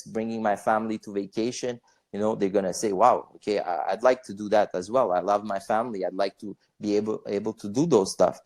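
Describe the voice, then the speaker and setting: A man's voice: medium pitch, darkish tone. A young man born in Bosnia, guest on a podcast, chatting casually in a rambling way, with frequent fillers, restarts and swearing. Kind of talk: casual interview chat.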